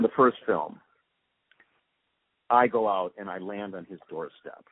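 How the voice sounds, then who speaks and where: A man with a low, rough-edged voice reminisces as an interviewee. He tells it emphatically, like a storyteller. The line is thin and phone-like. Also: no echo. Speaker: interviewee, radio drama post-production supervisor. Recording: muffled phone line, dry.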